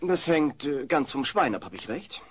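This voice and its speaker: An actor playing a fussy, sensitive party caterer with an affected sales manner in a comedy audio play. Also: male voice, medium pitch, very animated, normal speed, a little hiss or hum, close to an everyday voice. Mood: gushing. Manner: affected, tag-question habit.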